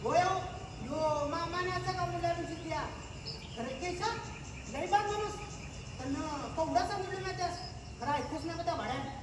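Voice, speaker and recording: A man's voice: extremely high pitch, normal speed, pauses often. A young man acting on stage as a blunt, outspoken village man. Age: young adult. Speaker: stage actor in a college one-act play. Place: large theatre stage. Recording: echoey theatre hall from far off, with loud noise.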